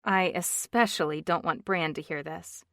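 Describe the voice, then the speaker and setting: A woman's voice: lowish voice, fairly even intonation, normal speed, a bit bright, no room sound. A woman voicing a frustrated woman in her thirties, wry and exasperated, in a dry, close reading. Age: 30s.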